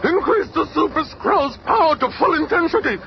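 An actor playing an imperious, fanatical alien emperor in a radio drama, barking shrill commands. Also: male voice, expressive, moderate pace, vocal fry, full character voice. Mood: frantic, commanding. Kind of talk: superhero radio drama acting. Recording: muffled, hissy old radio recording.

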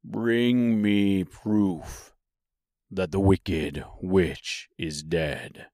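Oz, a very slow, deep terrible wizard. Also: male voice, darkish tone, no room sound, clean studio sound. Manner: slow, commanding, drawn-out words. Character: great and terrible wizard as ball of fire. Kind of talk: storybook character reading.

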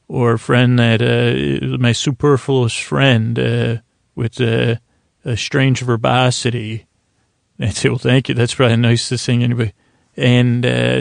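A man with a creaky voice hosts a bedtime sleep podcast in a lulling, soothing, meandering monologue, often halting and trailing off mid-thought. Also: medium pitch, no room sound, studio quality. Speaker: podcast host.

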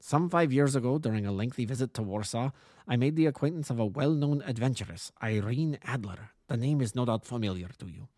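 An imperious, agitated king played by a male narrator speaks in a harsh, deep voice with a German accent. His delivery is grandiose and deliberate, the bearing of a gigantic storybook nobleman, recorded dry and close. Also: a little mellow, no echo, natural voice. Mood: grave.

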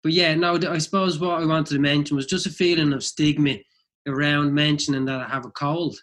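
A man's voice: a little high, steady tone, fairly quick, a bit bright. Man with a mild cold. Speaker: podcast co-host. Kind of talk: casual chat.

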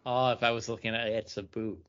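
A man co-hosting a podcast in casual chat, speaking in a hesitant, halting way. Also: fairly low pitch, fairly even intonation, fairly bright tone, clean tone, highs cut off. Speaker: podcast co-host. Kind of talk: casual chat, beer review.

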